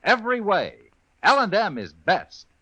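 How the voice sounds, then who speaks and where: A man's voice: high-pitched, very animated, unhurried, bright, forceful tone, lo-fi sound. A man delivering a punchy, emphatic 1950s radio commercial as its announcer. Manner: punchy, emphatic, singsong salesmanship. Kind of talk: 1950s radio advertising pitch.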